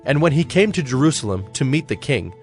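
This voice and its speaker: A man's voice: medium pitch, big pitch swings, a little fast. A man narrating scripture in a scripted, dramatic audiobook style. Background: music.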